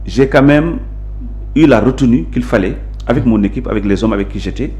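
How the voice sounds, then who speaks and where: A man with a Senegalese accent talks as an interview guest. He lays out his views firmly and at length, stressing his points. His pitch sits in the middle for a man. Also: fairly quick, dark tone. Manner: assertive, emphatic, explanatory. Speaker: interview guest, local politician. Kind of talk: conversational interview, mixing Wolof and French.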